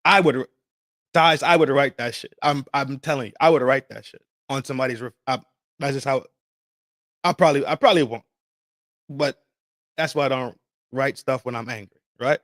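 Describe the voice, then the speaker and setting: A man's voice: mid-range voice, normal speed, pauses often, fairly bright tone, no echo, clean studio sound. Profane, fired-up male podcast host. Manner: profane, emphatic, repetitive, street slang. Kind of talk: casual opinion rant.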